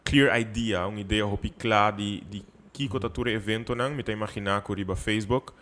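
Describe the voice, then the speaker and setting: Lower-voiced man hosting. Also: brisk, a bit bright, a little hiss or hum. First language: Papiamento. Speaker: talk show host. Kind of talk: conversational interview hosting.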